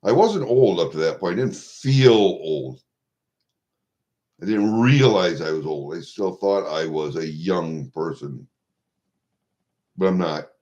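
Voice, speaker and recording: Low-voiced Midwestern man in his sixties. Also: expressive, darkish tone. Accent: Midwestern American. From Iowa, USA. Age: 60s. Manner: halting, blunt, salty-tongued. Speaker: vlogger. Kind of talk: rambling casual chat to viewers. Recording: dull lo-fi home recording.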